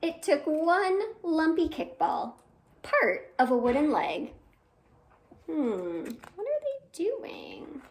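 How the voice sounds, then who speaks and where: A woman leads a storytime for young children in a high, very lively voice. She takes her time, reading expressively and putting questions and exclamations to her listeners. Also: takes it slowly, vocal fry, slight background noise. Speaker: children's storytime host at a library. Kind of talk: animated read-aloud for young children with sung greeting.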